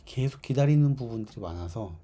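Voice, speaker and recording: A man with a hoarse, worn voice giving casual livestream commentary as a game developer, thinking aloud haltingly. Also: medium pitch, animated, dark tone. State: hoarse, strained voice from overuse.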